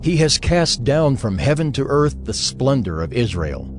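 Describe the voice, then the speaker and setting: A man's voice: medium pitch, sing-song, a little slow. A man narrating scripture in a solemn, dramatic manner over music.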